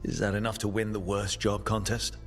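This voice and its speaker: An actor playing a wry, supportive adventurer and leader in a video game, with a casual delivery. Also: male voice, fairly low pitch, little pitch movement, fairly quick, soft background music, natural voice. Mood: wry, amused.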